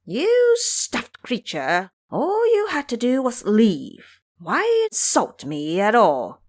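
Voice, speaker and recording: A woman's voice: a little high, wildly swooping pitch, takes it slowly, full character voice. A woman voicing a cocky, hot-tempered monkey king in an audio drama, imperious, taunting and scolding. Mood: indignant.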